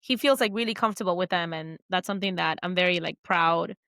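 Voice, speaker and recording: A woman's voice: lowish voice, fast, fairly bright tone, no room sound. Chatty woman, dry close sound. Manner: chatty, many fillers and restarts. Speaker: podcast co-host. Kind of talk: casual chat.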